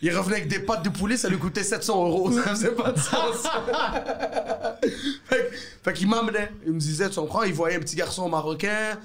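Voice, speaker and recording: A man's voice: high voice, fairly quick, a bit bright. A man from Morocco, native Arabic speaker, with a Moroccan French accent, chatting as a comedian guest in a laid-back, upbeat way. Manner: laid-back, upbeat, peppers speech with "bro" and "tu comprends". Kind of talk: casual chat.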